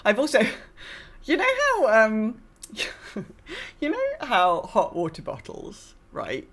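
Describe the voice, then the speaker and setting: A woman's voice: on the high side, big pitch swings, takes it slowly, a bit bright. A British woman hosting a podcast in casual chat, rambling and hesitant as she talks. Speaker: podcast host.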